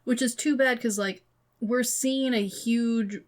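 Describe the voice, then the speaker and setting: A woman's voice: on the high side, steady tone, slow pace. A woman co-hosting a podcast, chatting casually and informally about entertainment. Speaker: podcast co-host. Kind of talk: casual movie discussion.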